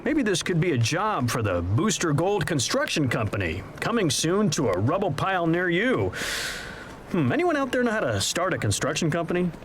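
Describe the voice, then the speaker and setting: A man's voice: on the high side, sing-song, moderate pace, slight background noise. A man voicing a boastful, self-promoting superhero who narrates a video game tour like a pompous salesman. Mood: showy, boastful. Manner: pompous showman, salesman-like, with awkward asides. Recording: loud music behind.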